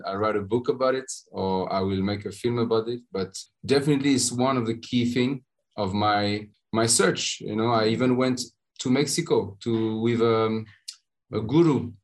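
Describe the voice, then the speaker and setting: A man from France with a French accent, a podcast guest telling his story in a halting way with frequent pauses and restarts. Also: fairly low pitch, rough recording. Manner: halting, many uh fillers and restarts. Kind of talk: conversational interview storytelling.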